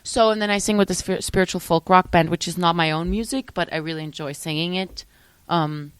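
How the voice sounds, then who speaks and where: A young woman from Switzerland talking as a musician guest in a casual interview, animated, often trailing off and restarting her sentences. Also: on the low side, brisk, clean tone, no echo. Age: young adult.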